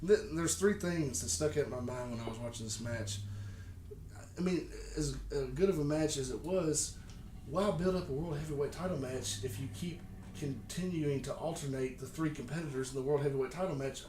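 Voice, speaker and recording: A man's voice: fairly high pitch, a little fast, a little mellow. A man co-hosting a casual wrestling podcast, recapping in a wry, opinionated way. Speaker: podcast co-host. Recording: echoey room, distant mic, faint noise.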